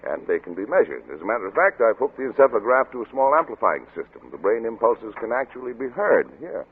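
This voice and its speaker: An actor playing a driven, brusque scientist and father in an old-time radio drama, lecturing briskly and insistently. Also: mid-range voice, big pitch swings, phone-quality sound, lightly acted. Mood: absorbed, explaining. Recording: crackly, band-limited vintage radio broadcast.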